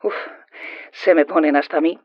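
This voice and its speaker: A man playing a sympathetic, gently probing interviewer in an audio drama, with a husky, creaky voice. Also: a little high, steady tone, moderate pace, dry recording, dull audio, natural voice.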